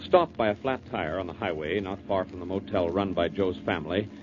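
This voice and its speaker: A man's voice: low-pitched, brisk, natural voice. A man narrating as a dramatic announcer for a 1940s radio mystery show. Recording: muffled 1940s radio broadcast. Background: prominent music.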